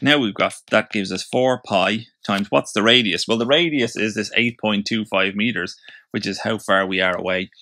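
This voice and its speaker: A man's voice: fairly low pitch, moderate pace, bright, forceful tone, no echo. A man giving an informal tutorial, explaining step by step as he thinks aloud. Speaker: physics tutor. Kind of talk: informal explanatory lesson, working through a problem.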